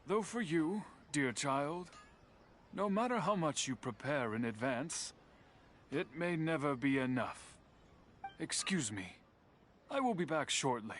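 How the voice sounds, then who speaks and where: A man voicing a dignified, stern old master swordsmith in an anime-style video game, formal, measured and gently admonishing. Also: a little high, sing-song, a little hiss or hum, full character voice. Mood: gently scolding. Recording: music behind the voice.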